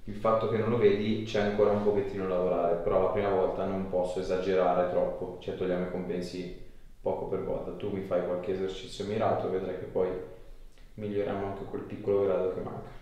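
Man, thirties, low pitch, chiropractor instructing a patient, reassuring, informal, strong room echo. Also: flat delivery, fairly quick, dark tone, muffled recording. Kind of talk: instructing a patient during a hands-on session. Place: small treatment room indoors. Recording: very echoey small room, faint noise.

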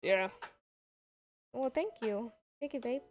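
A woman's voice: fairly high pitch, expressive, takes it slowly. A woman improvising a casual game roleplay as a video creator playing characters. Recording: dull, lo-fi microphone.

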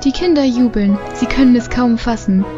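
A woman's voice: a little high, moderate pace, muffled recording, natural voice. A woman giving scripted, dramatic narration for a radio play. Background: music.

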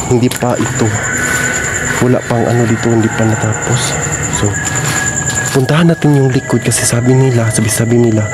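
A young man vlogging as he walks through an abandoned building's grounds at night, talking haltingly with many fillers into a handheld camera. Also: mid-range voice, heavy background noise. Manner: hesitant, halting, with fillers and repeated phrases. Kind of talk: casual handheld walkthrough at night. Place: outdoors at night on overgrown grounds. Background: loud music bed.

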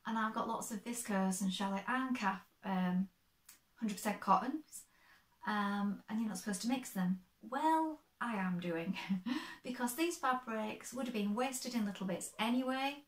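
Woman, 50s, crafting vlogger; slightly croaky, chatty explainer, roomy echo, fixed desk camera. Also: fairly high pitch, measured pace. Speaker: sewing vlogger. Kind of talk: casual show-and-tell. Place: bright sewing room, indoors.

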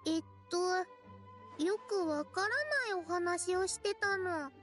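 A woman's voice: very high-pitched, expressive, slow, a bit bright, faint music behind, exaggerated voice. A woman voicing an innocent, eager little girl in an anime game, childlike and naive in manner. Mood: earnest storytelling. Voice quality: childlike, cutesy. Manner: naive, refers to herself by name. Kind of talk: Japanese anime game acting.

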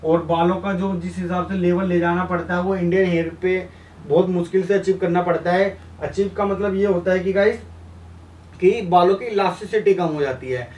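High-voiced young man, roomy sound. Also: fairly even intonation, moderate pace, a little mellow. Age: young adult. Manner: instructive, frequently addressing viewers directly, mixing in English terms. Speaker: hair colour instructor and video host. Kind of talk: informal tutorial explanation at a whiteboard. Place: small indoor room beside a whiteboard. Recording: roomy echo, distant mic, background noise.

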